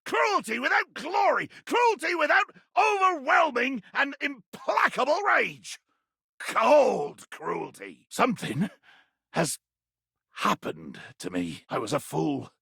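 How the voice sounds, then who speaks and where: An actor playing a tiny, fierce, pompous pirate captain in a video game, with a raspy, gravelly voice and grandiloquent, blustering delivery. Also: male voice, very high voice, sing-song, slow, sharp tone, no echo, clean studio sound, full character voice. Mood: bitter, anguished, then wounded, solemn. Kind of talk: theatrical video game character acting.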